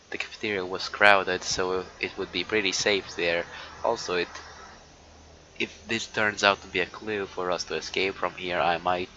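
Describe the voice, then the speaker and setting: A man live streaming a visual novel, reading it aloud haltingly and muttering casual asides. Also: deep voice, bright, forceful tone. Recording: dull lo-fi mic with noise.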